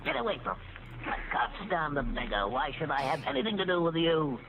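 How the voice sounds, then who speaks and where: A man's voice: on the high side, very animated, brisk. A man voicing a sneering, jealous ventriloquist's dummy with a cruel streak in a 1940s radio drama. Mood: spiteful, taunting. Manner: taunting, sneering. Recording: muffled, noisy old radio recording.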